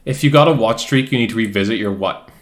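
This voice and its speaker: A man's voice: fairly low pitch, fast talker. A man in his late twenties hosting a game stream, chatting casually, laughing often and swearing freely. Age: late 20s. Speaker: streamer, host. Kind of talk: casual chat while gaming.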